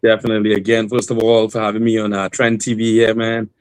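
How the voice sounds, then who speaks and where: A man, a guest singer on a remote call, telling his story in a relaxed, rambling way with many fillers and restarts. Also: lowish voice, fast talker, clear, resonant tone, dry recording. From Trinidad and Tobago. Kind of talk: casual interview storytelling.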